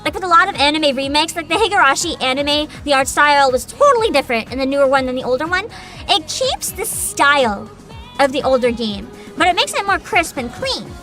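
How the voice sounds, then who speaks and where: High, bright, animated woman streamer. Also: fairly quick, soft background music. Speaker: livestream host. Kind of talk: casual livestream chat, giving opinions to viewers.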